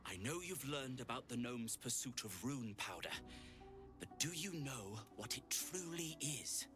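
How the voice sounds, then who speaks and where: An earnest gnome tinkerer and adventurer in a fantasy video game. He is hesitant and formal, with dry wit and a very animated, mid-pitched delivery. Music plays behind him. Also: unhurried. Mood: grave, worried. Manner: hesitant, wry, formal turns of phrase. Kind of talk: video game character dialogue.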